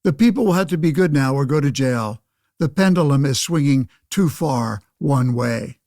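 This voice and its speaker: An actor playing a blustering, pompous old-time senator in a radio play, declaiming theatrically like a stump orator. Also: male voice, mid-range voice, expressive, normal speed, darkish tone, no echo, clean studio sound, close to an everyday voice. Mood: grumbling bluster. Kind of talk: old-fashioned political oratory, pseudo-radio play acting.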